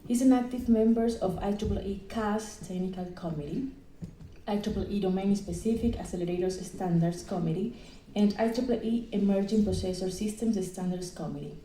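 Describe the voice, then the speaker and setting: A woman hosting a session reads out a speaker's introduction in a measured, formal way. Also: medium pitch, dark tone, slight background noise. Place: conference hall. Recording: echoey hall, heard from a distance.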